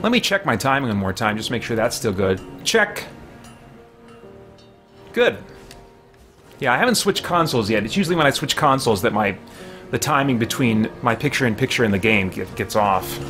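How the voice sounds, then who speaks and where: A man hosts a gaming live stream with a middle-pitched voice. He thinks aloud in a casual, rambling way, often correcting himself, with faint music playing behind him. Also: big pitch swings, normal speed, pauses often, a bit bright. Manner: rambling, self-correcting, chatty. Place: small home room, indoors. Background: game music.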